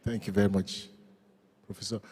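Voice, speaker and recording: A low, husky-voiced man speaks in a measured, emphatic way on a panel. His dark, mellow tone carries a long reverb from a large museum hall. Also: level tone. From Nigeria. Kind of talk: panel discussion.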